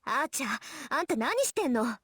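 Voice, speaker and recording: A woman playing a proud, sharp-tongued teenage girl mage in a Japanese anime visual novel, with music behind her. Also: sits high, very animated, rapid-fire, breathy edge, no echo, clean studio sound, full character voice. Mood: startled, annoyed.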